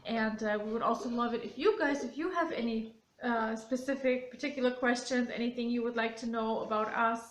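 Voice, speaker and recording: A woman's voice: fairly high pitch, a little fast, echoey room. A woman co-hosting a family vlog, talking casually with frequent ums, recorded on a phone camera. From Germany. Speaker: vlog co-host.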